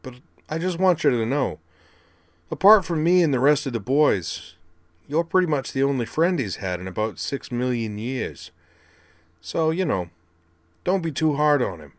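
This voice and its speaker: A man voicing a brash, streetwise young male robot who talks in slang, pitched well above his own low voice and louder. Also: lively intonation, normal speed, dry recording, close to an everyday voice. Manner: slangy, swaggering, loud.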